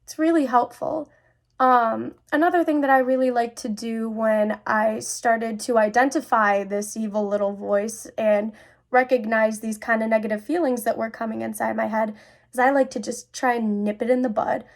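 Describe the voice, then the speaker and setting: Young woman with a higher, creaky voice. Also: fairly even intonation, moderate pace. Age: young adult. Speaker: host, student sharing personal experiences. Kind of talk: personal talk to camera about mental health.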